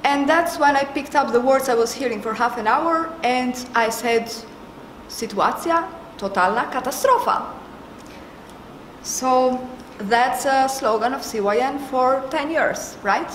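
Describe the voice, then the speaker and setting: A woman's voice: high voice, measured pace, fairly bright tone. A woman with an Albanian accent telling a personal anecdote with emphasis, as a member of a network. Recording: echoey room, faint background noise.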